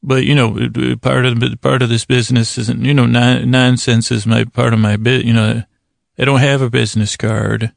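A man's voice: medium pitch, flat delivery, creaky, dry recording, clean studio sound. A man hosting a bedtime podcast in a rambling monologue, stammering and restarting often as he drifts into silly, self-deprecating tangents. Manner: halting, stammering, frequent false starts. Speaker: podcast host.

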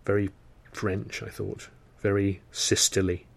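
A man's voice: lowish voice, flat delivery, measured pace, vocal fry. A man reading a first-person novel as a scripted audiobook narration.

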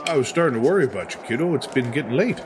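Man acting as a folksy, stubborn dad, lively pitch. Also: racing, a crowd in the background, close to an everyday voice. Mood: concerned. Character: caring, stubborn, independent father of a grown daughter. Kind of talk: naturalistic audio drama acting.

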